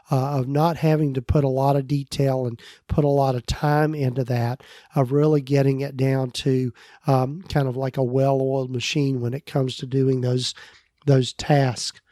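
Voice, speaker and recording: Hoarse middle-aged man, podcast host, mellow voice, dry close sound, rambling delivery. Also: mid-range voice, little pitch movement, unhurried, dry recording. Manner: loose, rambling, with fillers and self-corrections. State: voice going hoarse. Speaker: podcast host and practice consultant. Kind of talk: solo podcast talk.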